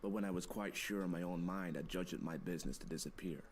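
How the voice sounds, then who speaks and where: Deep-voiced hunted played by a male actor. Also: fast talker, a little hiss or hum, lightly acted. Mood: confiding, uneasy. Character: hunted, secretive man on the run confiding his story. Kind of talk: dramatic storytelling in a visual novel.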